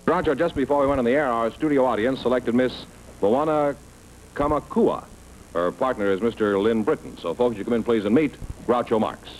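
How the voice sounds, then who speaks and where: A man's voice: medium pitch, brisk, soft background music, poor audio. A man announcing a quiz show, reading out scores and introducing guests in a formal way. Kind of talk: broadcast announcing.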